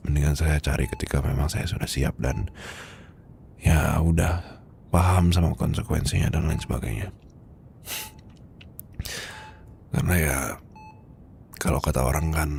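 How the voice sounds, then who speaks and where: A man playing a weary, heartbroken boss confiding from his sickbed in an ASMR roleplay, with an Indonesian accent and a hesitant, breathy, murmuring delivery. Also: extremely low pitch, darkish tone, slight background noise. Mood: subdued, confiding. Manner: hesitant, murmuring, halting, sighing.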